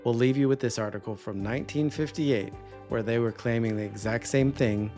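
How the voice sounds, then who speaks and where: Man, American accent, mid-pitched, scripted co-host narration, faint guitar backing. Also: brisk, warm tone. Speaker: co-host of a ham radio channel.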